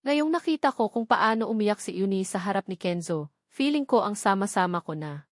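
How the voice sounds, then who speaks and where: A woman narrates a romance novel in the first person. Her voice sits at a middle pitch for a woman. The recording is dry, with little room sound. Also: expressive, a little fast, no echo. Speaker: audiobook narrator.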